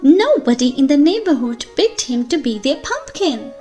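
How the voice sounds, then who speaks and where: A woman reading a children's picture book aloud in a slow, expressive storytelling manner for young listeners. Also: sits high, faint music behind.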